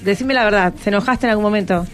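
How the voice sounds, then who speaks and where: A woman's voice: medium pitch, racing, soft background music. A woman with an Argentine accent hosting an informal radio interview with students. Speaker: radio host.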